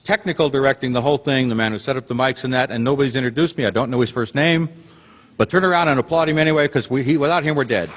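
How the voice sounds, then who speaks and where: A man's voice: mid-range voice, fast talker. A man introducing the cast on a theater stage before a live audience, chatty and joking. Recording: long hall reverb, loud audience and noise. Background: audience noise and laughter.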